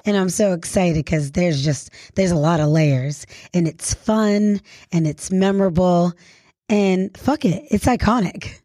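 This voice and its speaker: A woman's voice: lowish voice, measured pace, dark tone, dry recording, clean studio sound. A woman hosting a radio interview in a casual, slangy, celebratory style, effusive and admiring in an informal way. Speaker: radio show host.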